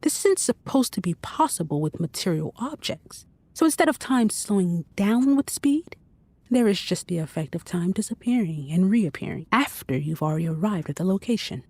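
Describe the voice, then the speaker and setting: A knowledgeable tech guide in a sci-fi audio drama. Her voice is husky and mellow, recorded dry, as she explains theories. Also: mid-range voice, lively intonation, normal speed, no echo. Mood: matter-of-fact. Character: knowledgeable female tech guide with a scientific bent. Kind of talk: scripted audio drama acting.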